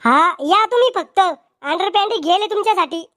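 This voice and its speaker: A man voicing a cheeky, quarrelsome village cartoon character with a Varhadi Marathi accent and a pitched-up voice. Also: animated, normal speed, a bit bright, no echo, clean studio sound. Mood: cheeky, sarcastic. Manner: brash, sarcastic, bickering.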